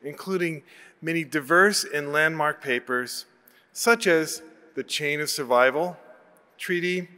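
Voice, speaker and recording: A man in his sixties giving a formal, measured scripted introduction of a lecturer at a podium microphone. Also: on the high side, bright, forceful tone. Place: large auditorium stage. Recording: long hall reverb from PA.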